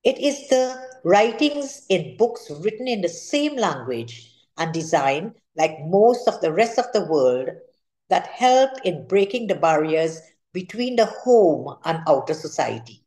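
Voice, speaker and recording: A woman's voice: fairly low pitch, sing-song, unhurried, mellow. An older woman with a Trinidadian accent, reading a prepared literary speech in a formal, careful way. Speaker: author giving a literary talk. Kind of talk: prepared speech read aloud. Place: indoor room at home, seated at a table.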